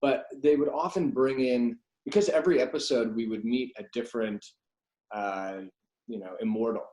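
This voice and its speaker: A man's voice: mid-range voice, normal speed, dark tone. A middle-aged man, reminiscing casually as a voice actor being interviewed. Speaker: guest, voice actor. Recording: echoey room sound.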